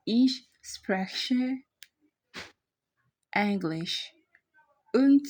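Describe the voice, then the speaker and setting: A woman teaches a language class in a low voice. She speaks slowly and deliberately, spelling out each example phrase for learners. The recording is close and dry. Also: darkish tone, no room sound, rough recording. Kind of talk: instructional language lesson.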